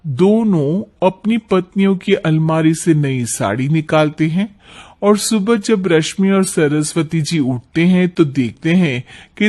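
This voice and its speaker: A male narrator tells a scripted cartoon tale. His pitch is high for a man and his pace is slow. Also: darkish tone. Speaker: story narrator.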